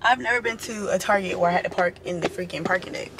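A woman's voice: on the low side, fast talker. A woman in her twenties chatting casually and informally to her viewers as a vlogger. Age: 20s. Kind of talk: casual vlog chat. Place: parked car and a room at home, indoors. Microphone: camera at arm's length or propped close. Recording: noisy ambient camera audio.

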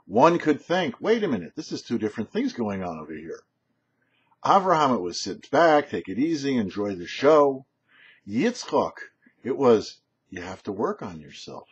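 An older man giving a short religious teaching as a rabbi, emphatic, with rhetorical questions and pauses. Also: mid-range voice, sing-song, husky. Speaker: rabbi and host of a weekly Torah show. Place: small home office, indoors. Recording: dull, lo-fi webcam sound.

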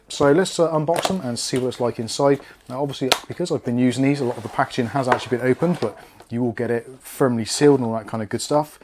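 Male, 40s, British, mid-pitched everyday voice; chatty reviewer walking viewers through a product. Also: a little mellow. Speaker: product reviewer, channel host. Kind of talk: casual scripted-outline product review.

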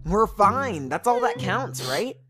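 A woman's voice: medium pitch, very animated, takes it slowly. A woman voicing an earnest, awkward teenage boy, stammering and caring, in a cartoon comic dub. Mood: concerned, soothing. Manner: stammering, reassuring. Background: background music.

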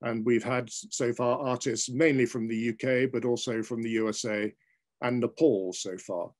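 A man's voice: mid-range voice, fairly even intonation, moderate pace. An older man with a British accent giving a formal, articulate prepared speech as a guest on a video call. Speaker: invited guest speaker, arts patron. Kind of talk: prepared speech at an online launch event. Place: book-lined room at home. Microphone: video call, seated at computer.

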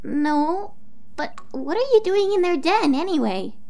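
A woman voicing an eager, playful young female lion cub in a small, childlike, excitable and innocent voice for a comic dub. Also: extremely high pitch, measured pace, heavily acted. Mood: startled, curious. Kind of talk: comic dub character acting.

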